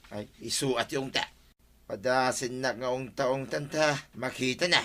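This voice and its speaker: An actor playing an excitable, jokey family man with no money in a Filipino comedy drama, with an Ilocano accent. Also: mid-range voice, unhurried. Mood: curious, teasing. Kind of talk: broadcast comedy drama acting. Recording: music behind the voice.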